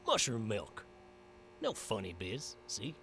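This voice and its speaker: An actor playing a gruff but kindly rustic frontiersman in an audio drama, talking in a folksy, slangy drawl. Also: lowish voice, huge pitch swings, slow, full character voice. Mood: easygoing, friendly. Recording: loud background hum and noise.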